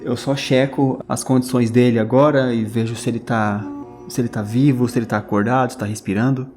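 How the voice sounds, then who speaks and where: A man's voice: mid-range voice, fairly even intonation, a little fast, a little mellow. A man with a Brazilian accent as a tabletop RPG player, casually narrating and speaking for his character. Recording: music playing behind the voice. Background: music.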